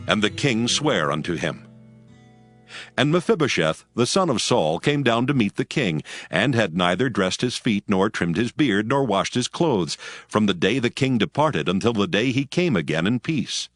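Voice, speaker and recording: A man narrates scripture in a low voice with widely moving intonation. His scripted delivery is measured and solemn, with music playing softly underneath. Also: sing-song, no echo, clean studio sound.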